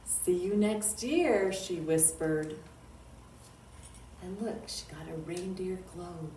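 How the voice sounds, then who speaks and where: Lady doing kids storytime, lowish voice, expressive reading, echoey room w mic kinda far off. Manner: slow, measured storytelling with drawn-out words and dramatic emphasis. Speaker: children's librarian reading storytime. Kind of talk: expressive picture-book read-aloud for young children. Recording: roomy echo, distant mic, faint noise.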